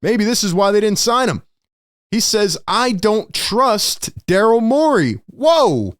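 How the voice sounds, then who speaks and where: Excitable male sports reaction host. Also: sits high, slow, no room sound, clean studio sound. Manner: excitable, animated exclamations. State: slightly hoarse from yelling. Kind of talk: live reaction commentary.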